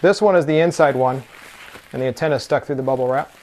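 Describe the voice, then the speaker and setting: A man's voice: medium pitch, fast, a little mellow, low-quality recording. A man reviewing a product hands-on, talking informally and explaining things step by step. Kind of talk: casual unboxing and hands-on demo talk.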